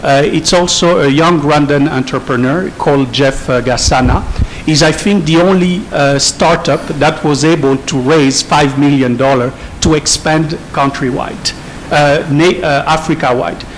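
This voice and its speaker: Higher-voiced man speaking at a noisy conference. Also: unhurried. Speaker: panel speaker. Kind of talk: unscripted conference remarks.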